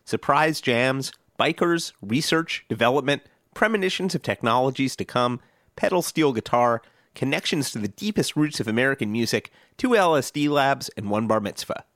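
A man's voice: mid-range voice, moderate pace, no room sound, studio quality. A man hosting a podcast, reading scripted narration with a storytelling delivery that builds through lists. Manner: lively storytelling delivery with list-like build-ups.

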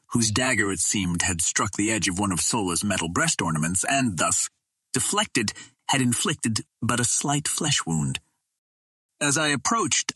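A male narrator reads a first-person pulp adventure in a dramatic, measured way. His voice sits on the low side and is husky. The recording is dry and close to the mic. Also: pushed and bright, dry recording. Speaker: audiobook narrator.

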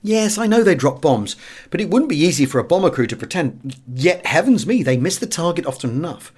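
A man with a British accent voicing an earnest, excitable fighter pilot reasoning aloud in an audiobook memoir. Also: fairly high pitch, brisk, breathy edge, voice pushed up. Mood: excited.

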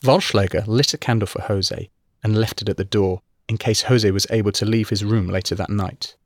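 A man reading a long-form news story aloud as scripted narration, in measured storytelling. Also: steady tone, no room sound. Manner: measured storytelling, raises pitch for quoted cries.

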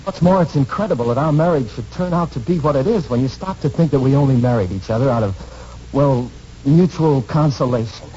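An actor playing an earnest, awestruck newlywed husband in a 1940s radio romance, speaking with halting intensity. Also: male voice, medium pitch, expressive, dark tone, close to an everyday voice. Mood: halting, awed. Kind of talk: 1940s radio drama acting. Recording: muffled old radio recording with background noise.

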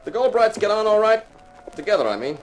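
An actor playing a dry, wisecracking insurance investigator who narrates a 1950s radio detective drama. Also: male voice, sits high, big pitch swings, brisk. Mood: wry probing. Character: wry, hard-boiled male insurance investigator and narrator. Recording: slightly noisy 1950s radio recording.

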